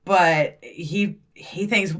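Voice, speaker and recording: A woman in her thirties co-hosting a podcast, chatting in a blunt, brash way with plenty of swearing and quick laughs. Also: fairly low pitch, fairly bright tone. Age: 30s. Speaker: podcast co-host. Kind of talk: casual chat.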